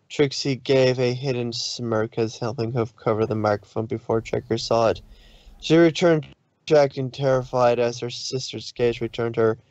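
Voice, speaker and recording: A man's voice: medium pitch, darkish tone, no room sound, lo-fi sound. A man reading scripted story narration in a dramatic style.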